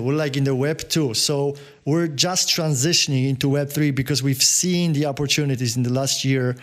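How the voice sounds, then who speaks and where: A man from Poland, answering interview questions as a podcast guest in a halting way, full of fillers and restarts. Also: medium pitch. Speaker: podcast guest, business owner.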